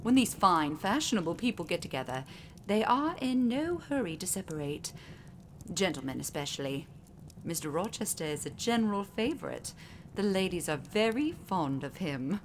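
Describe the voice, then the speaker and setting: A woman's voice: lowish voice, big pitch swings, normal speed. A kindly, motherly older housekeeper in a period audio drama. Her genteel, chatty voice swoops expressively as she fusses, with faint ambient noise behind.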